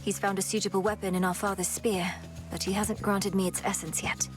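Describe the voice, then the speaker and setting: A woman voicing an earnest, resolute young witch princess in a game, speaking in a measured, quiet way over game music. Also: medium pitch, flat delivery, close to an everyday voice. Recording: game dialogue with music behind.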